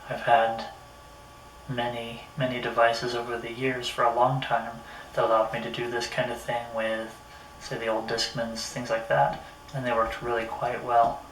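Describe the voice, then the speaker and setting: A man talks through a casual hands-on review in a middling pitch. His delivery is halting, as if thinking aloud. He sounds set back from the mic in a roomy, echoey space. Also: completely flat delivery, fairly bright tone. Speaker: product reviewer. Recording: roomy echo, distant mic, faint noise.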